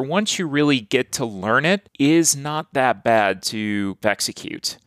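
Man, board game reviewer, earnest, matter-of-fact, smooth voice, dry close recording. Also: mid-range voice, fairly bright tone, no echo. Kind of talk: casual solo review.

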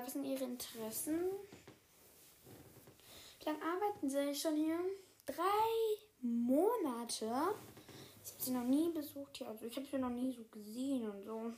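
A woman playing a sick young female apprentice, hoarse and speaking quietly and hesitantly, half in a whisper. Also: high voice, slight background noise.

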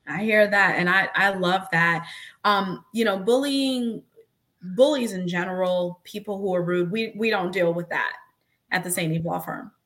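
A woman's voice: on the low side, unhurried, fairly bright tone. A woman attorney hosting a casual conversation, speaking emphatically and repeating words to drive her point home. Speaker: host and attorney. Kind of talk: casual podcast-style conversation.

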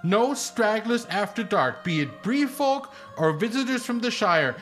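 A flustered young gatekeeper boy stammers through his words in a nervous, high-pitched voice, as a man puts him on for a tabletop game. He sounds unsure of himself. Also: animated, unhurried, fairly bright tone, faint music behind. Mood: apologetic.

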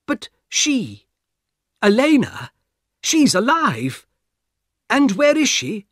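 A man's voice: very high voice, huge pitch swings, slow, a bit bright, no room sound, studio quality, pitched above the performer's own voice, exaggerated voice. A man voicing a frightened male train passenger who pleads in a breathless, panicky way. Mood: shocked. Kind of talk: audiobook character voice.

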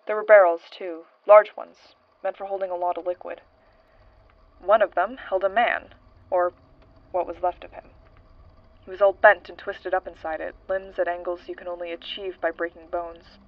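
A woman's voice: lowish voice, level tone, moderate pace, no room sound, narrow, tinny audio, close to an everyday voice. A woman playing a hard-boiled, world-weary female paranormal investigator in a 1920s noir audio drama, with wry, slangy delivery. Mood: grim, shaken. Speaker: investigator and narrator. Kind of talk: audio drama, noir-style recorded case statement.

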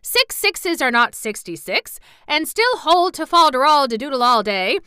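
A woman's voice: sits high, very animated, unhurried, pushed and bright, no echo, studio quality, voice pushed up, exaggerated voice. A woman voicing a boy who rambles through endless, breathless nonsense speeches. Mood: pompous.

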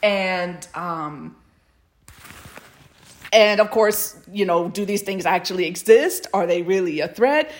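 A woman co-hosting a podcast in casual chat, telling things in a halting, rambling, playful way. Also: fairly low pitch, expressive. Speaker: podcast co-host. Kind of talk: casual chat about fan fiction recordings.

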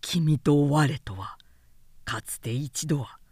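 A humble, sorrowful lord addresses his sworn brother in grave literary phrases, as a woman narrator voices him. The words come slowly and formally, with a dry storybook sound. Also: low voice, darkish tone, slightly rough, no room sound, exaggerated voice. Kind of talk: audiobook character acting.

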